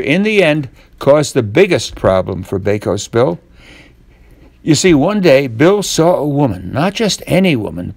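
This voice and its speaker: An older man reads a tall tale as a bedtime story, expressive and deliberate, drawing out key words. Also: on the high side, gravelly, no echo. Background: guitar music.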